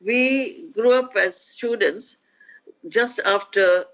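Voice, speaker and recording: An older woman speaks slowly and thoughtfully, pausing now and then with small hesitations. Her voice comes through a muffled, lo-fi line that dulls its edges. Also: medium pitch. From India. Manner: measured, with hesitant pauses. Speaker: guest economist. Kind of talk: reflective interview answer.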